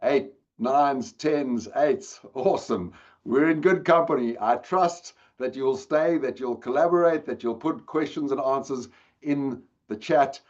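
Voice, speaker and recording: A man's voice: mid-range voice, a little slow, muffled recording. A man with a South African accent giving a webinar talk, addressing the audience directly and engagingly. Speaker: guest speaker. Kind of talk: webinar presentation. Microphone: video call.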